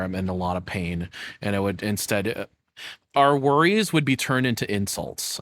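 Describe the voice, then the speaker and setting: A man's voice: deep voice, a bit bright. A man giving casual streamer reaction commentary in a blunt, informal way, with some swearing.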